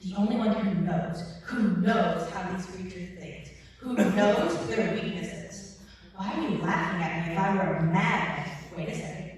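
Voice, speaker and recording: A woman's voice: on the low side, measured pace, lightly acted. A woman performing a dramatic monologue as a desperate would-be savior, urgently pleading to be believed and repeating phrases. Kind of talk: dramatic monologue read at a workshop. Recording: very echoey hall, distant mic, faint noise.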